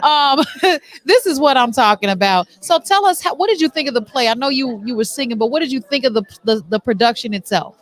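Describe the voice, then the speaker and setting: A woman with a high voice works as a show host, interviewing people on location. She speaks effusively and encourages whoever she talks to. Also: expressive, a little fast, few pauses, poor audio.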